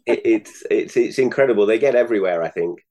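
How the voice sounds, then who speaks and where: A man with a fairly low voice speaks as an interview guest. He explains things in a casual, measured way, pausing and hesitating between phrases. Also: warm tone. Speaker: guest, sales director.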